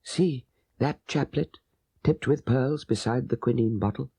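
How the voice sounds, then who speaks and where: A man voicing a guilt-ridden, dying old father in an audiobook, halting and laboured, with a breathy voice that fades into whispers. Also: mid-range voice, dark tone, no room sound. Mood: remorseful, laboured. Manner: halting, laboured deathbed speech. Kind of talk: dramatic audiobook character voice.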